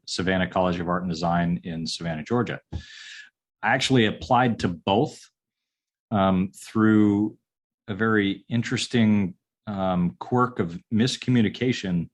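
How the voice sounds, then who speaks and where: Low-pitched man in his forties, unhurried and halting, guest on an interview podcast. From upstate New York. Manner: halting, many ums and restarts. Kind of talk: casual interview answers.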